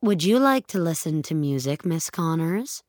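A woman's voice: low voice, very animated, normal speed, darkish tone, dry recording, clean studio sound. A woman voicing a polite, attentive car assistant in a science-fiction audio drama. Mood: obliging.

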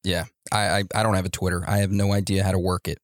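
Young guy host, lowish voice, chatty and loose, dry mic. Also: level tone, fast, no room sound. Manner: warm, rambling, laughs often. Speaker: podcast host. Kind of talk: casual podcast chat.

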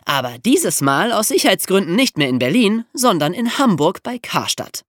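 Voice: mid-range voice, normal speed, no echo. A podcast host narrates in a chatty, colloquial style, posing questions and then answering them. The intonation moves up and down with plenty of life. The recording is dry, with no audible room. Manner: animated, colloquial, rhetorical questions. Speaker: podcast host telling true crime stories. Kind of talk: conversational storytelling narration.

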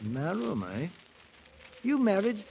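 A man's voice: high-pitched, huge pitch swings, measured pace, darkish tone, full character voice. An actor playing a brash, swaggering British adventurer who has made himself a king, in blustering 1940s radio drama style. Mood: commanding. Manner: blustering, rough colloquial British soldier speech. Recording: noisy, muffled 1940s radio recording.

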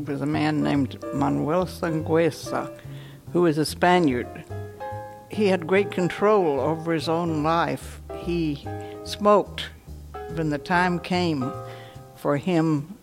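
A woman's voice: low voice, creaky. An older woman, interviewed, recalling her life in a firm, matter-of-fact way. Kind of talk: interview recollection.

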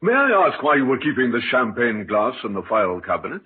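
An actor playing a measured, courteous, shrewd police inspector in an old radio drama, understated and authoritative. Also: male voice, mid-range voice, very animated, close to an everyday voice. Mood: composed. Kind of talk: 1950s radio drama acting. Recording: tinny old radio, faint hiss.

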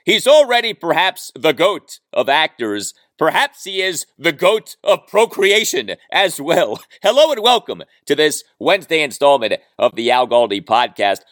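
A man's voice: fairly high pitch, sing-song, moderate pace, few pauses, fairly bright tone, no room sound, studio quality. A man hosting a solo sports podcast, talking in an emphatic, dramatic way with rhetorical repeats. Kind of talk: solo sports talk monologue.

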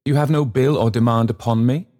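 A man voicing a pompous, stately old gentleman of self-importance in a storybook reading, halting and floundering as he speaks. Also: medium pitch, steady tone, voice pushed up, lightly acted. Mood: pompous, self-important.

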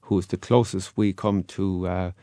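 An Irish man, a guest in an interview, answering in a relaxed, anecdotal way. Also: sits low, moderate pace, no room sound, studio quality. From Ireland.

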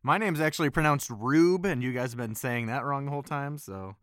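A man's voice: medium pitch, brisk, fairly bright tone, dry recording. Joking man on a dry mic. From Michigan, United States. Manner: joking, laughs often. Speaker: voice actor guest. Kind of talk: casual video-call chat.

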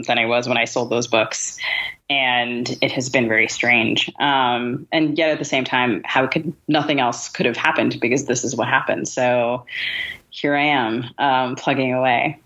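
Woman with a really deep voice chatting candidly, hesitant and thoughtful, bit of a muffled lo-fi sound. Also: fairly bright tone. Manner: hesitant, self-reflective, hedging. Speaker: writer, podcast host. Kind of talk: candid reflective conversation. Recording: dull, lo-fi podcast audio.

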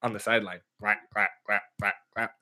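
Bright-voiced joking sports podcast host. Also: male voice, lowish voice, little pitch movement, fairly quick. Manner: jokey, puts on shifting accents. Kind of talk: casual sports chat.

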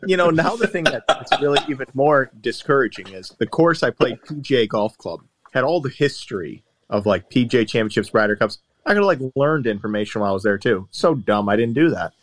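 A man's voice: mid-range voice, lively intonation, brisk, few pauses, a bit bright. A man chatting casually on a podcast, telling long, rambling, self-deprecating stories into a close mic. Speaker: golfer guest and regular of the podcast.

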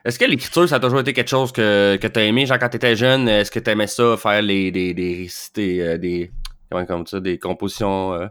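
Relaxed Québécois man hosting a podcast. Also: lowish voice, brisk, clear, resonant tone. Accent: Québécois French. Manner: relaxed, rambling questions with many restarts. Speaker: podcast host. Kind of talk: casual podcast interview.

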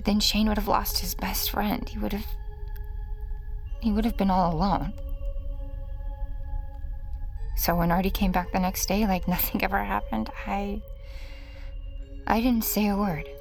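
A woman playing a haunted, regretful mother who narrates a dark past in a halting, confessional audio drama style, often dropping toward a whisper. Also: medium pitch, steady tone, gravelly, soft background music, lightly acted.

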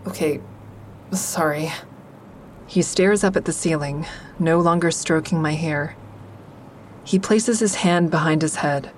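Guarded, wry female lead of a fiction podcast, lower register, intimate first-person telling, some background rumble. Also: measured pace, long pauses. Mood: dry, teasing. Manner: confiding, dry, introspective. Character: guarded, wry young woman narrating her troubled romance. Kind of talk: intimate first-person audio drama narration and dialogue.

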